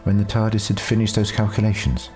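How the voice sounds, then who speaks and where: Man putting on a caring young woman, raised pitch. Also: monotone, a little fast, darkish tone, soft background music, natural voice. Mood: gentle.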